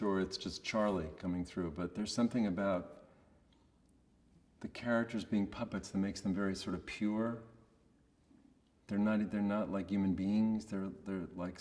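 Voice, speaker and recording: Man, low voice, monotone delivery, hesitant and understated, casual interview talk, long reverb. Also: moderate pace. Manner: hesitant, searching for words, understated. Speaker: voice actor being interviewed.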